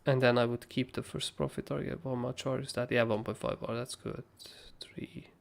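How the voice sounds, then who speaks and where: Hoarse young guy w/ gravelly fry, rambling casual stream host, swears a lot. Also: mid-range voice, little pitch movement, normal speed. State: hoarse, raspy voice. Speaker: crypto trading livestream host. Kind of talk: casual live chart analysis.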